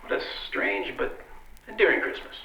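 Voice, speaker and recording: A man's voice: moderate pace, lightly acted. A man playing a wry, condescending married detective with dry, theatrical delivery in an old-time radio drama. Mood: wry, fond. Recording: echoey, dull recording with clear noise.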